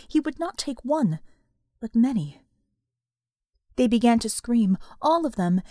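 A woman reading scripted fiction as an audiobook narrator, with measured, suspenseful, dramatic delivery. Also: high-pitched, mellow, no echo, studio quality.

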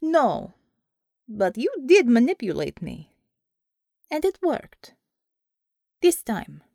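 A woman's voice: on the high side, huge pitch swings, slow, creaky, mellow, dry recording, studio quality, pitched above the performer's own voice, exaggerated voice. A woman voicing a brash, commanding Russian woman commissar in an audiobook, with a Russian accent and blunt, non-native English phrasing. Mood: irritated admiration.

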